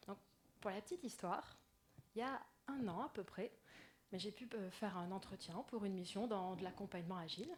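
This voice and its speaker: Woman with a soft gentle little voice doing a conference talk, medium pitch, noisy live mic. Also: fairly quick. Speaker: conference speaker, agile coach. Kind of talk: personal conference talk to an audience. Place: indoor conference room. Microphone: stage microphone.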